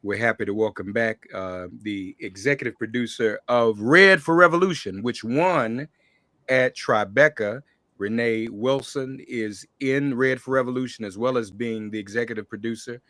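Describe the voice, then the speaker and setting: A man's voice: mid-range voice, moderate pace, fairly bright tone, dry recording. A man hosting a conversational interview show, welcoming guests and addressing them directly. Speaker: podcast host interviewing guests.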